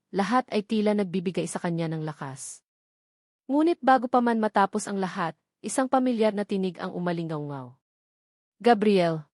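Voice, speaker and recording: A woman's voice: medium pitch, darkish tone, no room sound, clean studio sound. A woman reading scripted narration of a dramatic love story.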